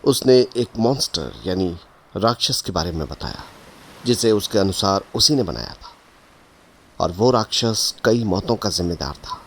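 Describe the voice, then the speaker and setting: An actor playing a grave, puzzled ship captain and explorer, recounting events in measured audio drama narration. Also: male voice, low-pitched, lively intonation.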